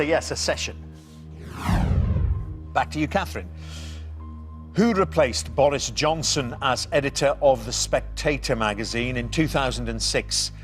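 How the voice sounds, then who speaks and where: A man hosting a television quiz show, reading the scripted intro and questions clearly and bantering with the contestants. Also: mid-range voice, fairly bright tone. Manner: jovial, reads questions deliberately, chuckles at his own puns.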